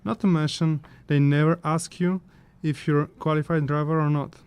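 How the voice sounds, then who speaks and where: Man acting a grumbling fantasy chimera, smooth and mellow. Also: on the high side, fairly even intonation, normal speed, natural voice. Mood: sardonic. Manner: grumbling, sardonic monologue. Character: grumbling, brooding male chimera wanderer, mixed-race fantasy creature. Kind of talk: fantasy audio drama acting.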